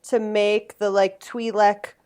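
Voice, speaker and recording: A woman's voice: mid-range voice, flat delivery, slow, mellow, clear, resonant tone. A woman from Massachusetts, a podcast guest chatting casually with a self-deprecating, jokey manner. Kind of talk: casual chat.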